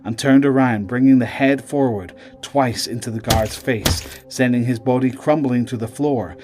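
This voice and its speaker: A man's voice: medium pitch, normal speed. Man narrating horror drama over music.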